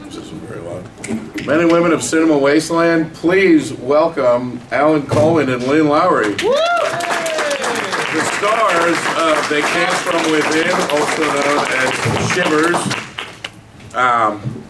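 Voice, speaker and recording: A man hosting a convention panel Q&A, asking questions in a relaxed way with many fillers. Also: on the high side, slow pace, over a noisy crowd. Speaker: Q&A host. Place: convention hall stage. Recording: echoey hall from far off with faint noise.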